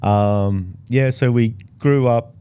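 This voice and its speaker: A man's voice: mid-range voice, slow pace, mellow, clear, resonant tone. A middle-aged Australian man, first language Italian, talking casually as an interview guest with many fillers and restarts. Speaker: engineer guest.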